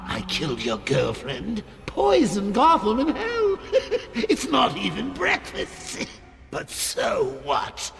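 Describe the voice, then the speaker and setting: Cackling clown supervillain, very high swooping pitch, slow drawn-out delivery, video game. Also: male voice, huge pitch swings, fairly bright tone, soft background music, full character voice. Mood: manic taunting. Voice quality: gravelly, cackling.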